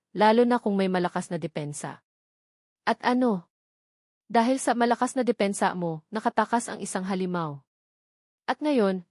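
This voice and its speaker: A woman's voice: mid-range voice, a little mellow, no echo, studio quality, close to an everyday voice. A woman voicing a proud, bitter young policewoman in an audio love drama, indignant and confrontational. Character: proud, embittered young policewoman in a forced marriage.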